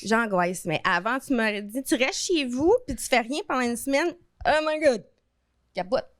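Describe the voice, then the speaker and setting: A woman's voice: on the high side, normal speed, no room sound, studio quality. Candid Québécoise woman in her thirties. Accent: Québécois French. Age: 30s. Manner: candid, expressive, colloquial storytelling. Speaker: guest. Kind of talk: casual podcast conversation.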